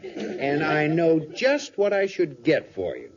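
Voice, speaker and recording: An earnest, fussing husband in an old radio comedy. His slightly high voice swings expressively and hesitates, recorded muffled before a clearly heard audience. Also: huge pitch swings, takes it slowly, a crowd in the background, heavily acted. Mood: solicitous. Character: solicitous, easily worried middle-class husband. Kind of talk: 1950s radio sitcom acting.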